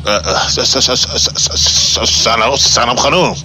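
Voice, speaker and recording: A man's voice: medium pitch, background noise, lightly acted. An actor playing a blustering, easily flustered father, a moustached street tough with colloquial talk, in a nostalgic radio drama. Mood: flustered, apologetic. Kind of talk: nostalgic 1960s-set radio drama acting.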